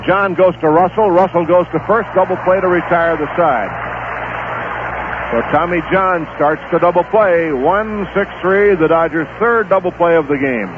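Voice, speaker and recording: Tinny 1970s radio baseball announcer, man. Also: background noise. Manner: steady, unhurried play-by-play with stats between pitches. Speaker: play-by-play announcer. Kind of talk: live baseball radio commentary.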